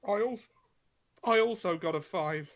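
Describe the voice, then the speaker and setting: A man with a high voice talks casually as a player in a tabletop game. He speaks in a helpful way, like someone walking another player through it. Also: moderate pace. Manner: helpful, instructing. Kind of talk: casual chat.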